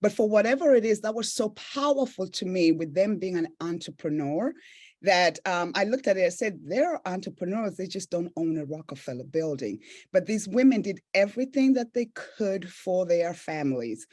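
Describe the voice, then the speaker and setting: A woman's voice: lowish voice, expressive, moderate pace, darkish tone. A woman from East Africa, a business owner and coach, telling her personal story earnestly and reflectively as a podcast guest. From Tanzania and Zambia, East Africa. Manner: earnest, reflective, with frequent "right?" tags.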